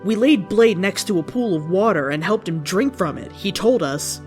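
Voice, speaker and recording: A woman's voice: mid-range voice, lively intonation, moderate pace, darkish tone, faint music behind. A woman reading fan fiction aloud as narrator, in a mock-serious comic style. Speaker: story reader.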